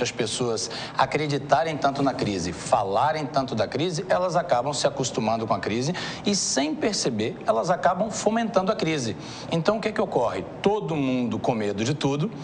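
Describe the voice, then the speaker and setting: A Brazilian man from Bahia speaks as a consultant being interviewed. His delivery is explanatory and didactic, posing questions and answering them himself. The recording carries faint noise. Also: on the high side. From Salvador, Bahia, Brazil.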